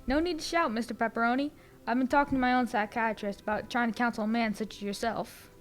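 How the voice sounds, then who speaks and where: A sardonic, needling woman in a comedy radio play, played by a young actress. Her voice sits fairly high and moves quickly, with a dry, deadpan delivery. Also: faint music behind, natural voice. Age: young adult. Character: dry, needling woman, mobster's wife and therapist. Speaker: actor in a student audio play. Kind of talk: comic radio play acting.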